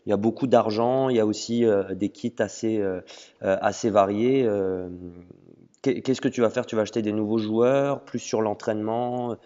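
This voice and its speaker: A man's voice: fairly low pitch, steady tone, moderate pace, dark tone. A man hosting a casual interview over an audio call, asking questions with frequent hesitation sounds. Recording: lo-fi audio with a long reverb.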